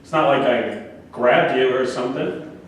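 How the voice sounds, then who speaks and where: Echoey, distant actor as touchy middle-aged defendant. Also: male voice, mid-range voice, lightly acted. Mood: defensive. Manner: halting, needling, indignant when challenged. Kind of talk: staged play reading. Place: live staged reading in an echoing room. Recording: echoey room, distant mic, background hiss.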